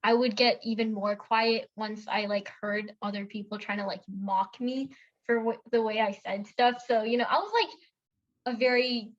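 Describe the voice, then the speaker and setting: A woman's voice: a little high, moderate pace. Chatty young woman, dull lo-fi sound. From India. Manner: chatty storytelling with many fillers and self-corrections. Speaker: podcast co-host. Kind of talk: casual chat.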